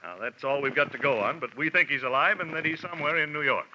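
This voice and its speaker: Casting: crisp businesslike official, sharp bright voice, old radio. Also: male voice, fairly high pitch, slight background noise. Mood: matter-of-fact. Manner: brisk, matter-of-fact. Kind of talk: 1950s radio drama acting. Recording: dull old radio recording.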